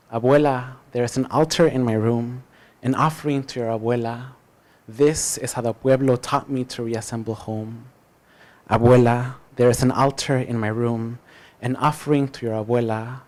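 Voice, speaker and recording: A man reciting spoken-word poetry as a poet, with deliberate, emphatic phrasing and dramatic pauses. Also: medium pitch, a little mellow.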